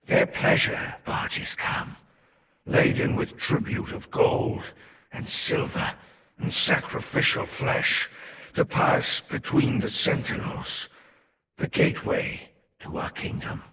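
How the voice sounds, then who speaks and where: A man's voice: takes it slowly, pushed and bright. A man voicing an ancient, haughty serpent-man overlord in a video game, solemn and imperious, with a whispery, voiceless tone. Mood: ominous, proud. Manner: solemn, imperious, archaic phrasing. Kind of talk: scripted game lore narration. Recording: echoing, faint background noise.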